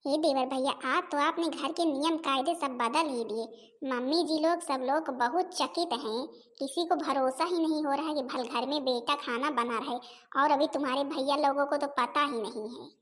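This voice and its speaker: Woman playing a very high-pitched polite daughter-in-law. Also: normal speed, close to an everyday voice. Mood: admiring, helpful. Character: dutiful young Indian daughter-in-law, polite, long-suffering housewife. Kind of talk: family comedy cartoon dialogue.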